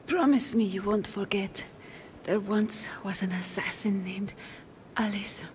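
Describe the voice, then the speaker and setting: A woman performs a dying game assassin in a breathy near-whisper. She is reverent and pleading, at a middling pitch. Clear noise sits behind the voice. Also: a little slow, lightly acted. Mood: fading, tender. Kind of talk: video game cutscene acting. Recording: noisy game scene audio.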